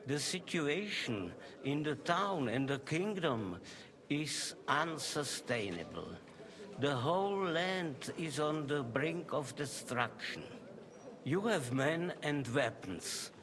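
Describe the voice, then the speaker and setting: A man's voice: fairly high pitch, very animated, slow pace, a crowd in the background. An actor playing a grave, dignified medieval rabbi in video game dialogue, solemn and formal in his speech.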